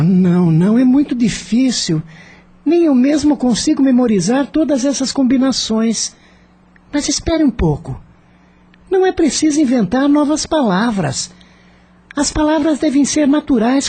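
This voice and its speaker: A woman playing a dreamy young male student who thinks aloud in a deliberate, musing way in a radio drama. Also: sits high, animated, dark tone, husky, heavily acted. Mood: frustrated, pondering. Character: young male student Lázaro, dreamy, pensive inventor of a language.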